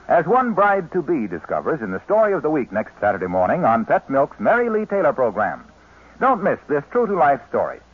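Man, 1940s radio announcer, emphatic folksy sales delivery, husky edge, narrow tinny recording. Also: a little high. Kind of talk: 1940s radio advertising, scripted. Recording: thin, phone-like vintage radio recording.

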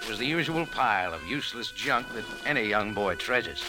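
A man's voice: lowish voice, expressive, a little fast, close to an everyday voice, low-quality recording. An American man acting a tough, wry bar owner turned amateur sleuth in clipped 1940s radio drama style. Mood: grim, wry. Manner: wry, clipped, hard-boiled. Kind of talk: 1940s radio drama acting, hard-boiled first-person narration. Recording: old 1940s radio recording with music.

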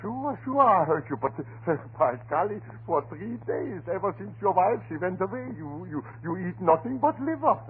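An actor playing a garrulous, good-natured German butcher with a German accent, in 1930s radio dialect style. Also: male voice, high voice, sing-song, moderate pace, hardly any pauses. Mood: good-natured, fussing. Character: chatty, folksy German immigrant butcher. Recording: tinny, hissy 1930s radio recording.

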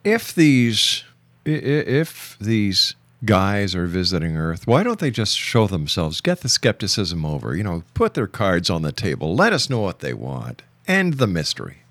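A man's voice: sits low, wildly swooping pitch, measured pace, a bit bright, no echo. A man hosting a radio talk show, putting questions to a guest and announcing breaks. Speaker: radio host. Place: broadcast studio.

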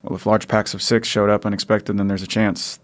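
A man's voice: fairly low pitch, flat delivery, fairly quick, close to an everyday voice. A man playing a decisive, level-headed survivor father in a post-apocalyptic audio drama, matter-of-fact in manner.

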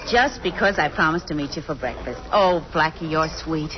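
A woman's voice: low-pitched, lively intonation, moderate pace, a crowd in the background. An American actress playing a detective's perky, jealously curious girlfriend in a 1940s radio drama, quippy and playfully scolding. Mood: teasing, mock-grumpy. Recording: muffled old radio recording.

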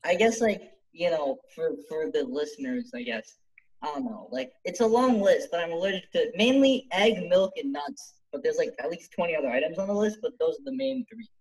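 Man, Canadian-born, podcast co-host, rambling, filler-heavy, fairly high voice. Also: expressive, a little fast, a little mellow, low-quality recording. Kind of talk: casual podcast chat.

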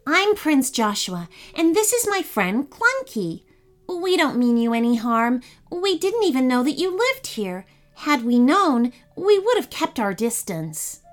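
Young storybook prince, played by a woman, courteous, brave and earnest, high and lilting. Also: big pitch swings, unhurried. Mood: calm, reassuring. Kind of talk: children's storybook character voice.

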